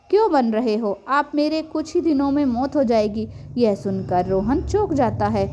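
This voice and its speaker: A woman's voice: high-pitched, mellow, dull audio. A woman reading a scripted story aloud as a narrator.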